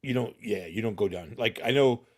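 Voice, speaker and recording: A man's voice: lowish voice, moderate pace. A man chatting as a guest on a live show, joking and laughing easily. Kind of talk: casual live chat.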